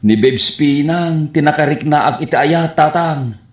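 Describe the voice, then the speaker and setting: A man with an Ilocano accent playing a gullible, lovestruck, excitable suitor in a comedy radio drama. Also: fairly high pitch, unhurried, close to an everyday voice. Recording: roomy, echoing radio drama sound.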